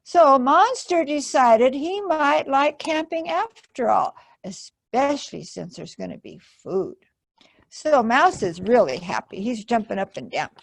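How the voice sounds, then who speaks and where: A woman's voice: high voice, big pitch swings, unhurried, gravelly. A woman hosting a children's storytime, reading a picture book aloud expressively and explaining it simply to young listeners. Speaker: library storytime host and reader.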